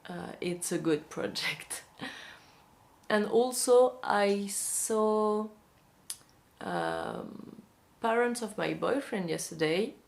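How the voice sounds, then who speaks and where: Slow, gravelly French-accented woman. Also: medium pitch, big pitch swings. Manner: hesitant, many restarts and fillers. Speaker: vlogger, magazine publisher. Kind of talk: casual vlog monologue.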